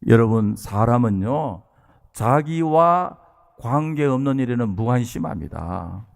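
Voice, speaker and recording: A man's voice: mid-range voice, lively intonation, measured pace, creaky. A man in his early sixties from South Korea delivering a sermon as a pastor, earnest and emphatic, addressing his listeners directly. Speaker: pastor preaching to a congregation.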